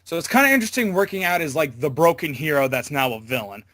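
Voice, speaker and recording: A man giving a casual interview as a voice actor, talking in a halting, loosely worded way. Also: on the high side, animated, a bit bright, dry recording.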